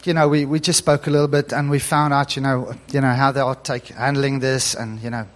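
A man's voice: mid-range voice, flat delivery, fairly quick. A male pastor whose first language is Afrikaans, telling a story in an earnest sermon that builds to emphatic preaching.

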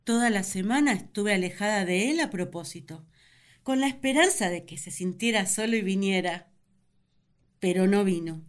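A woman's voice: medium pitch, normal speed, close to an everyday voice. An actress playing an earnest, lovesick first woman who confides her feelings in a sentimental radio drama monologue. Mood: yearning.

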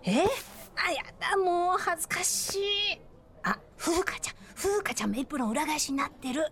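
High-pitched, flustered, scatterbrained customer. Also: female voice, moderate pace, a bit bright. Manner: flustered, chatty. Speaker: radio personality acting in a drama. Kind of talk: light comedic radio drama acting.

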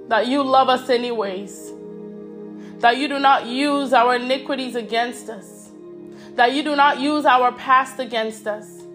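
A woman leading a fervent spoken prayer, repeating her petitions and calling out "oh God" between phrases. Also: sits high. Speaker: prayer leader.

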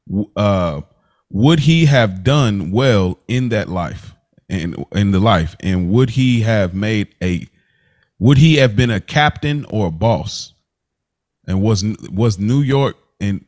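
A man with a fairly low voice hosts a casual TV recap podcast. His phrasing is colloquial and slangy, and the sound is dry with very little room in it. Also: a little mellow, dry recording. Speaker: podcast host.

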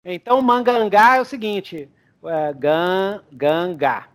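A young man with a notably high voice runs a tabletop game. He improvises the story aloud in a loose, rambling way, and chuckles as he builds the scene. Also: takes it slowly. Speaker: game master.

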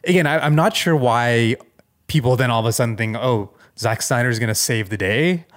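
A man's voice: medium pitch, normal speed. A man co-hosting a podcast, chatting casually and weighing his opinions tentatively. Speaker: podcast co-host. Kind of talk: casual chat.

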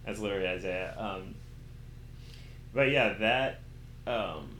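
Bright-voiced man talking candidly in echoey room. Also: fairly low pitch, slow. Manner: animated storytelling with acted-out quotes and slang. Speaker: interview guest. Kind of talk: casual candid conversation. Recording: roomy echo with faint background noise.

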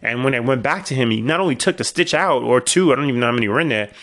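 A man's voice: mid-range voice, expressive, racing, a bit bright. Middle-aged New man rambling. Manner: rambling storytelling with restarts and wry asides. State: voice weakened after illness. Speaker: podcast host. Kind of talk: casual solo podcast monologue.

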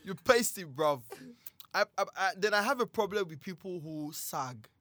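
Animated young Nigerian man, crude banter. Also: high-pitched, moderate pace, no echo. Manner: crude, animated, laughing often. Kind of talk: casual chat.

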